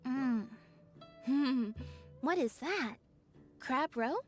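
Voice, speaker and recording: Deadpan, lightly high-voiced young assistant. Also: female voice, drawn-out words, faint music behind, heavily acted. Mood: curious. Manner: deadpan, understated. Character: reserved, deadpan young woman, stage magician's assistant. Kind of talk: video game cutscene voice acting.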